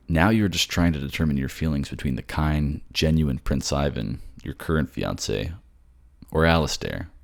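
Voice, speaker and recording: A man's voice: a bass voice, creaky. A man reading scripted narration for a fantasy audio drama as its narrator. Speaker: narrator and voice actor.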